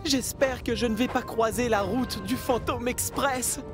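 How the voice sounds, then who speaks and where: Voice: medium pitch, steady tone, a little slow, over music, lightly acted. An actor voicing a naive, earnest, hesitant little male train engine in a children's cartoon. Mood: nervous. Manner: hesitant, wide-eyed. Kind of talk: children's cartoon dub acting.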